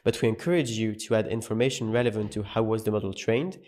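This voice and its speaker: A man's voice: fairly low pitch, a little mellow. Man narrating a tutorial. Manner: measured, explanatory. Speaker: course narrator.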